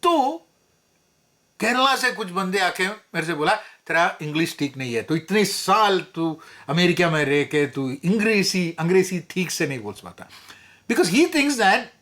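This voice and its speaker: Lively Malayali man with a bright voice. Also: sits high, racing. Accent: Malayali English. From Kozhikode, Kerala, India. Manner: mixes Hindi and English, frequent fillers and restarts. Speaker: vlogger. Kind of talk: casual personal commentary.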